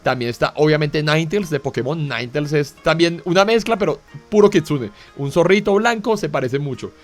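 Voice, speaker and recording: A man's voice: a little high, fairly quick, soft background music. A man with a Colombian accent, co-hosting an anime talk show in a casual, chatty way, full of fillers and tag questions. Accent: Colombian Spanish. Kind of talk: casual explanatory chat.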